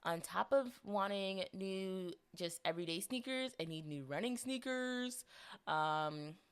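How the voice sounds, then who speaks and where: A young woman in her twenties hosting a casual solo podcast, chatting and rambling with animated asides, a little congested. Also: mid-range voice, unhurried, smooth. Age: 20s. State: slight congestion. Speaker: podcast host.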